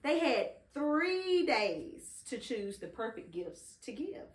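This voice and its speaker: A middle-aged woman reading a children's story aloud expressively, pausing to prompt and encourage a child. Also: fairly high pitch, sing-song. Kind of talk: children's read-along storytelling. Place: indoor living room beside a Christmas tree. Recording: roomy, echoing home recording.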